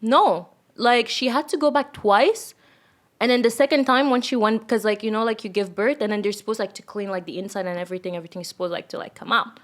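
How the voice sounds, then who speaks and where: A woman's voice: a little high, fast. Chatty young woman, filler-heavy talk. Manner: rambling, lots of filler "like". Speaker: podcast co-host. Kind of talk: casual chat.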